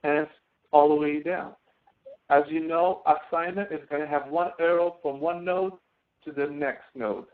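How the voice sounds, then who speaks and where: A man teaching a live online software lesson, explaining step by step in a halting way as he demonstrates. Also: a little high, fairly even intonation. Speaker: instructor. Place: online screen-share session. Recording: thin phone-like audio.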